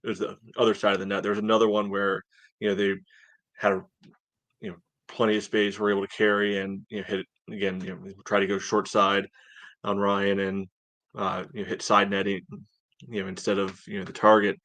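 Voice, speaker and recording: Low-voiced man analyzing sports, dry sound. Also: monotone, a little fast, a bit bright, no room sound. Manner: hedging, conversational, peppered with "you know". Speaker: soccer podcast co-host. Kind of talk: casual match analysis.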